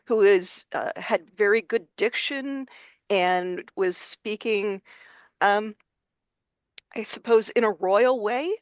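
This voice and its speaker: A woman's voice: mid-range voice, slow pace, smooth, no room sound, dull audio. A woman speaking conversationally as a podcast guest, recalling her experience as an amateur performer. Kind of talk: conversational recollection.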